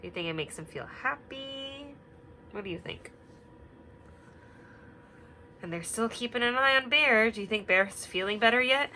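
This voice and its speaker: A young woman teacher reading a picture book aloud and asking the children gentle questions, sometimes dropping to a whisper. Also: fairly high pitch, animated, fairly quick, slight background noise. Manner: drops to a whisper at quiet parts.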